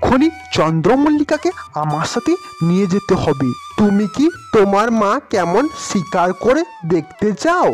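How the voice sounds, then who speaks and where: Cartoon landlord father acted by a man: remorseful, emotional, highly varied pitch, music behind. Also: big pitch swings, moderate pace. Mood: coaxing. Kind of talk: cartoon fairy-tale acting.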